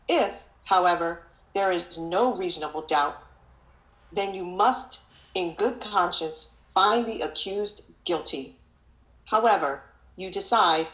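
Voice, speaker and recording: A woman reading the part of a grave male judge, formal and measured, in a scripted play. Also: fairly low pitch, a bit bright. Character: grave, solemn male judge instructing a jury. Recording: echoey room, dull lo-fi audio.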